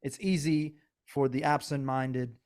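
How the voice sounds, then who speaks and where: A man's voice: medium pitch, steady tone, unhurried, darkish tone. Earnest rambling man with a Persian accent. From Iran. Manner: earnest, rambling, many restarts. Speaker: podcast co-host. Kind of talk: casual political chat.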